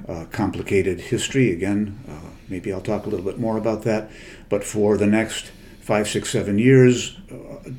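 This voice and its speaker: An older man with a somewhat low voice, speaking as a literary translator in a relaxed lecture. He talks in a thoughtful, wandering way, often pausing and correcting himself as he goes. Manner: thoughtful, digressive, many hesitations and self-corrections. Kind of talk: conversational lecture.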